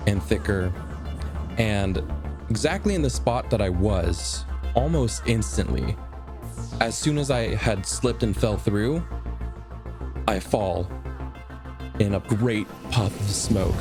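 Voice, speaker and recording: Slow, low-voiced man narrating dramatically. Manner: deliberate, pausing between short phrases. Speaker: tabletop roleplaying player. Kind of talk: dramatic first-person improvised narration.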